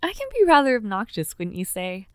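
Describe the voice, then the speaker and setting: A woman playing an earnest, affectionate young noblewoman and would-be knight in an intimate audio roleplay, speaking with gently formal, sincere phrasing. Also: medium pitch, big pitch swings, brisk, smooth, dry recording, studio quality, close to an everyday voice. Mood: playful, self-deprecating. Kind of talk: audio roleplay, intimate one-sided dialogue to listener.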